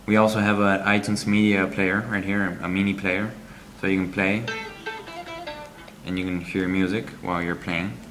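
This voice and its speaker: A man reviewing a game app, walking through its screens in a halting, explanatory way with frequent ums. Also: deep voice, flat delivery, fairly bright tone, faint music behind.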